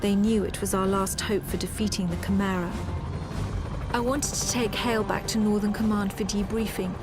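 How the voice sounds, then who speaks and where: A serious military woman, voiced by an actress, narrates a war story for a video game. Her delivery is measured and matter-of-fact, with a wary edge, at a middling female pitch. Cinematic music plays clearly behind her. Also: fairly even intonation, lightly acted. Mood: grave, wary. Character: grave, suspicious female military officer recounting a war.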